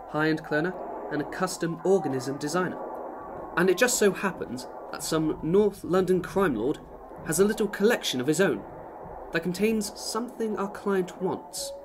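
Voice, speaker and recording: Actor doing bossy curt heist chief briefing crew, radio drama, medium pitch, noisy ambience behind. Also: close to an everyday voice. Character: Wagner, curt, commanding heist boss giving a job briefing. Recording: noisy recording with background ambience.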